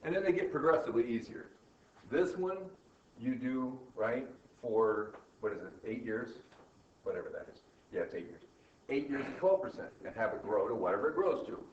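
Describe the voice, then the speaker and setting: A man's voice: medium pitch, lively intonation, normal speed. Male teacher, conversational explainer who checks understanding; muffled, roomy recording. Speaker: finance teacher. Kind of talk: informal classroom explanation, thinking aloud. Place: indoor classroom. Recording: echoey room, distant mic, muffled, faint noise.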